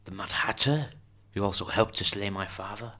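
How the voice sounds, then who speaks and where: Male actor as vengeful young beast for radio drama: low, hard-edged, slowly threatening. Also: bright, forceful tone. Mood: suspicious, accusing. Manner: slow, interrogating, threatening. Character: menacing young Jabberwocky monster, vengeful and threatening. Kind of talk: amateur fantasy radio drama acting.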